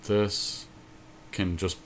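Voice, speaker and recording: A man's voice: low-pitched, level tone, drawn-out words, a little hiss or hum. A man co-hosting a casual gaming podcast, thinking aloud through his moves in short, deliberating fragments. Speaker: podcast co-host.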